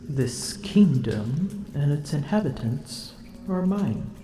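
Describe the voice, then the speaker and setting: A woman's voice: low voice, lively intonation, mellow, echoey room, a little hiss or hum. A woman voicing a proud, smug female dragon in human form, haughty and teasing, in an ASMR roleplay. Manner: haughty, teasing, slow and deliberate.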